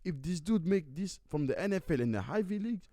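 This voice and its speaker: A man's voice: high-pitched, expressive, quick pace, warm tone, dry recording. A man in his twenties co-hosting a casual podcast chat, emphatic and repetitive, speaking into a studio mic. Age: 20s. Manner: emphatic, repeats "you see what I'm saying". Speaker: podcast co-host. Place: small indoor studio set with couch. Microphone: studio mic on stand, headphones.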